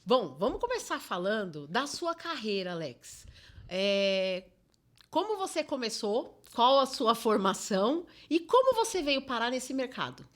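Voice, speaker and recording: Apresentadora brasileira de podcast, afirmativa. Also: female voice, on the high side, big pitch swings, a little slow. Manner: warm, affirming listener with frequent backchannels. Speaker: podcast host, therapist. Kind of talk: casual interview hosting.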